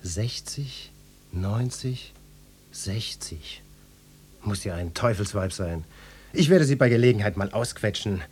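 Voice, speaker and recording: An actor playing a pompous, insinuating police detective who questions people in florid, officious language in a satirical radio play. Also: male voice, lowish voice, animated, slow pace, pauses often, darkish tone, a little hiss or hum. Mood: probing. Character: pompous, nosy, lecherous police detective interrogating a housewife.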